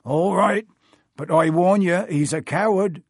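A man doing a gruff burly gamekeeper. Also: high-pitched, measured pace, no echo, clean studio sound, voice pushed up, heavily acted. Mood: instructing. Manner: gruff, blunt, dialect words. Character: big gruff male gamekeeper with country speech.

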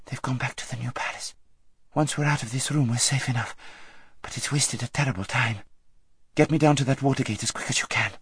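A man's voice: mid-range voice, quick pace, hardly any pauses, sharp tone, breathy edge, no echo, clean studio sound, voice pushed up, heavily acted. A man voicing a determined, impatient young noble girl in an audiobook, firm and commanding. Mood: urgent. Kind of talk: audiobook character acting.

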